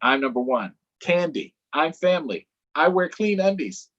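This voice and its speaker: A man's voice: a little high, sing-song, moderate pace, a bit bright, highs cut off, pitched above the performer's own voice. A man voicing a boastful, pushy, childish donkey from a children's storybook. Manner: boastful, name-calling, childish.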